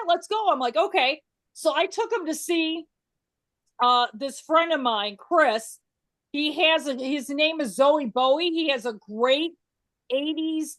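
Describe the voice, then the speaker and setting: A woman's voice: high voice, no room sound. A woman hosting a casual chat with a band, talking loosely with lots of fillers and stories. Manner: chatty, storytelling, many fillers. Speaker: podcast host. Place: works in Las Vegas.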